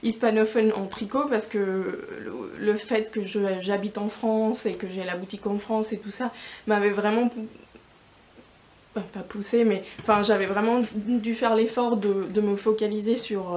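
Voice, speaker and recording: A woman's voice: medium pitch, darkish tone. A young woman from Spain, talking in her second language, chats about knitting in a loose, rambling way. She leans on fillers and restarts, recorded by a camera mic in a yarn shop. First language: Spanish. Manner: rambling, many fillers and restarts, gesturing with hands. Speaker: knitting podcast host. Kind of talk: casual video podcast chat. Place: yarn shop in front of shelves.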